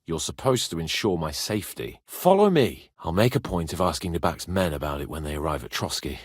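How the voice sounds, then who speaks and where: A man's voice: sits low, a little fast, slightly rough, dry recording, clean studio sound, full character voice. An actor playing a haughty, spirited young nobleman in a medieval video game, lordly and bossy in manner but loyal. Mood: haughty, impatient. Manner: lordly, bossy, expressive, swearing freely.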